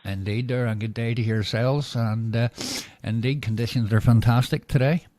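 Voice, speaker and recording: A Northern Irish man chatting over the radio as an operator, measured and full of fillers, closing each turn with "go ahead". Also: mid-range voice, fairly even intonation, darkish tone, slight background noise. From Northern Ireland. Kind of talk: casual radio chat.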